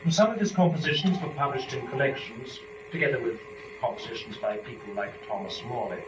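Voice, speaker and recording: A man speaking in a formal, deliberate, articulate way, as a performer presenting poetry to listeners. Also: medium pitch, over music. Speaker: performer and lecturer. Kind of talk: dramatic poetry reading and introductory lecture. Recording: echoey room, distant mic, dull, noisy.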